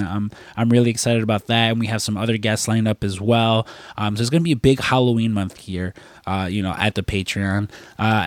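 A man's voice: fairly low pitch, a little mellow, no echo. A young man chatting casually as a podcast co-host. He is congested from a cold and rambles with lots of fillers and swearing. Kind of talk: casual chat.

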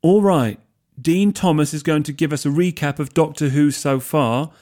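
A man voicing a flustered, high-strung young wizard hosting a party. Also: moderate pace, a little mellow, natural voice.

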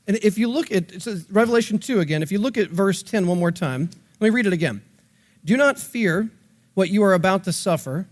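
A man with a notably high voice speaks as a Bible teacher. His delivery is conversational but emphatic, moving between explanation and reading aloud. He often repeats key phrases to press each point. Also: expressive, fairly quick. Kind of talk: conversational Bible teaching with read-out scripture and quotations.

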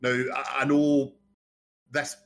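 A man appearing as a podcast guest. He talks in a measured, reflective way with hesitant fillers, and is currently ill. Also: mid-range voice, flat delivery, vocal fry, fairly bright tone. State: ill, lost taste and smell. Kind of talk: casual podcast chat.

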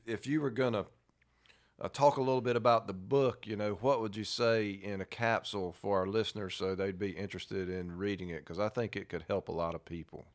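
Low-voiced relaxed male co-host. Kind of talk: talk show hosting.

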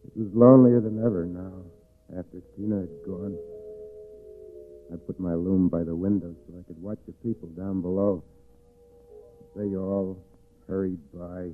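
A lonely, plaintive male weaver played by a radio actor, confiding in a lowish voice as if desperate for a friend. The 1940s radio drama sound is narrow and phone-like. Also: steady tone, moderate pace, long pauses, faint music behind, lightly acted. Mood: wistful, lonely. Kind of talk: 1940s radio acting, first-person narration and dialogue.

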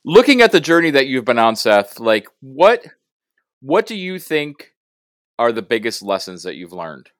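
A man's voice: mid-range voice, huge pitch swings, measured pace, a bit bright, no echo, clean studio sound. A man hosting a podcast as a coach, speaking casually and encouragingly to his guest. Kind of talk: casual interview talk.

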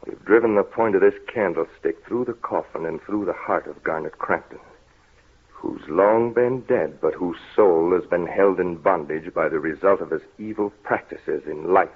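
Resolute vampire hunter, grave and dramatic, deepish voice, 1930s radio play, thin phone-like sound. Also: male voice, normal speed, slightly rough. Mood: grim, solemn. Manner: solemn, dramatic. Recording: scratchy muffled 1930s radio recording, noisy.

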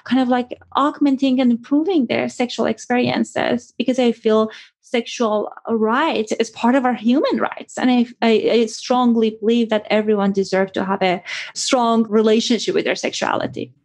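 A woman's voice: fairly high pitch, steady tone, normal speed, darkish tone. A woman from Iran with an Iranian accent, a sex therapist speaking as an interview guest in an earnest, explanatory way. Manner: earnest, explanatory, with frequent fillers like "kind of". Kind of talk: conversational interview.

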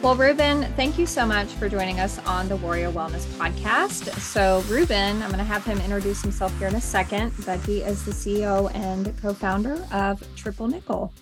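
A woman hosting a podcast interview, chatty and friendly and quick to laugh. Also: mid-range voice, music in the background. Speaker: podcast host. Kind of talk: casual interview.

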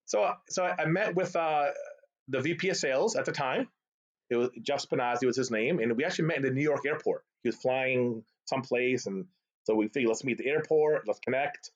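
A man's voice: mid-range voice, brisk. Middle-aged boston guy, arabic first language, chatty storyteller, kinda dull lo-fi call sound. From Boston area, USA. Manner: animated, chatty, tag questions like "right?". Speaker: guest, sales executive. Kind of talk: casual storytelling interview. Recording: slightly dull lo-fi call audio.

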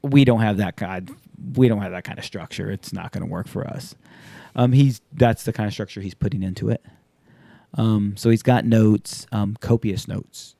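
A man's voice: on the low side, normal speed, gravelly, no room sound. Laid-back, profane man in his thirties. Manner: loose, profane, animated storyteller acting out quotes. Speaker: podcast host. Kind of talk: casual chat.

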